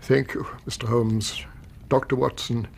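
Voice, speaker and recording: A man's voice: medium pitch, level tone, a little slow, gravelly, breathy edge. A man with an English accent playing an older, respectable Victorian banker and emotional father in a radio drama, formal and earnest. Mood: grateful, humbled.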